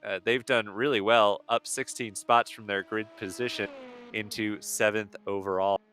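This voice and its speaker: A man commentating a sim race on a live stream, friendly and halting, with many fillers as he runs down the standings. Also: lowish voice, steady tone, fairly bright tone.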